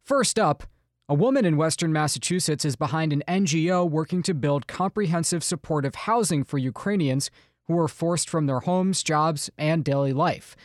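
A man hosting a news podcast, reading a scripted introduction in a measured, announcer-like way. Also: on the high side, dry recording, studio quality. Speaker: podcast host, news director.

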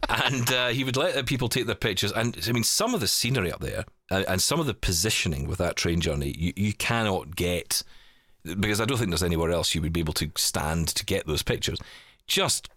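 Lively low-voiced man chatting. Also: dry recording, studio quality. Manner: chatty storyteller, quick asides. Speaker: podcast host. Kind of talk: casual chat.

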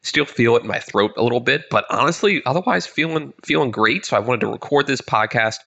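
A man's voice: medium pitch, expressive, brisk, gravelly, pushed and bright, dull audio. A man in his thirties hosting a podcast, talking upbeat and conversational, his voice a bit congested, nasal and crackly from being ill. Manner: upbeat, conversational, with frequent word repeats. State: congested, slightly nasal from illness. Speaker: podcast host. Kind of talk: solo podcast monologue.

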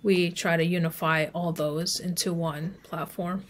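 A woman's voice: lowish voice, fairly even intonation, a little slow. A woman speaking as an expert guest in a podcast interview, explaining advice conversationally. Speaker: email marketing expert guest.

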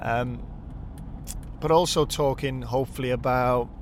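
An upbeat Welsh man, Welsh his first language, talks casually into the mic as he drives to his next interview. His voice sits at an ordinary middle pitch, and faint road noise hums under the talk. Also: fairly even intonation, a little slow. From Wales. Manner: chatty and upbeat. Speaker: podcast host. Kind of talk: casual spoken intro while driving. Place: in a car on the road.

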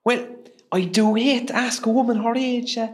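A woman acting in a radio play as a brisk, gossipy, kindly hospital nurse. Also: fairly high pitch, fairly even intonation, natural voice. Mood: brisk, playful. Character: chatty, nosy, kindly female hospital nurse, a little starstruck.

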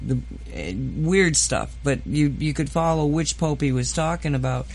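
A man's voice: a little high, lively intonation, measured pace, creaky, a little mellow. A man hosting a casual podcast chat, rambling and hesitant, full of fillers and restarts. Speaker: host.

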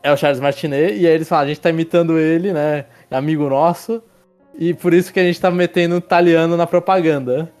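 A man's voice: a little high, fairly even intonation, quick pace, a little mellow, smooth, soft background music. A man hosting a casual film review podcast, rambling with restarts and tag questions as he leads the talk. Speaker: podcast host.

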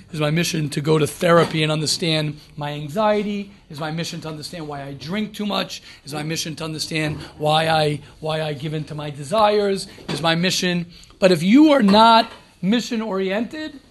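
Emphatic high-voiced rabbi teaching a class. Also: male voice, slight background noise. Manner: emphatic, repeats key phrases, asks and answers his own questions. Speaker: rabbi teaching yeshiva students. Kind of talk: informal Torah talk to a class.

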